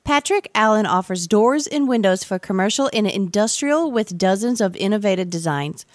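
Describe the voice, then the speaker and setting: A woman's voice: medium pitch, lively intonation, moderate pace, no room sound, studio quality. A woman reading a home-improvement commercial in a polished, scripted style. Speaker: ad announcer. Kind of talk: scripted ad read.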